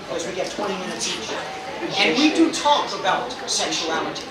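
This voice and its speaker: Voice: mid-range voice, sing-song, brisk, distant mic. An upbeat foreign English teacher shares classroom experience in a workshop discussion. The voice is heard from across an echoey room, with a busy crowd around. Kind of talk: group discussion.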